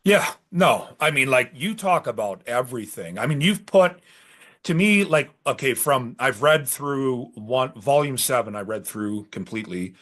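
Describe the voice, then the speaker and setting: A man's voice: mid-range voice, animated, a little slow, a bit bright. A man chatting casually as an interviewer, with a tentative, hesitant and loosely phrased way of talking.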